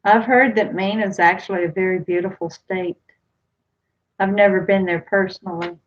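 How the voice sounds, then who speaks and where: A woman's voice: mid-range voice, fairly even intonation, normal speed, a little hiss or hum. Chatty woman on a lo-fi webcam stream. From United States. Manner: chatty, reassuring, answers viewers' comments one by one. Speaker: vlogger reading live chat. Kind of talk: casual live-stream chat. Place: small room at home, indoors. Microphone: webcam built-in mic. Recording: slightly dull lo-fi webcam audio.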